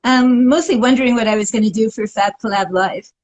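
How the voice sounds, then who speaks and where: A woman's voice: on the high side, a little fast, darkish tone, dull audio. Woman in her sixties, dry and wry. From Montreal, Canada. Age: 60s. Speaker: guest. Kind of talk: casual interview. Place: living room, video call.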